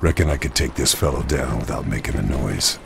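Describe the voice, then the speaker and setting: An actor playing a gruff, determined Allied sniper in a wartime video game, speaking in a terse, measured, deadpan way. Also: male voice, very deep voice, background noise, exaggerated voice. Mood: cool menace. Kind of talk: scripted game narration and muttered character lines.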